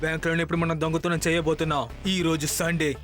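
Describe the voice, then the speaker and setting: An actor playing a swaggering male monkey gang boss in a dubbed cartoon, menacing and drawn-out in his boasts. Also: on the high side, monotone, natural voice. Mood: scheming. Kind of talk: cartoon dub. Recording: cartoon with loud music behind.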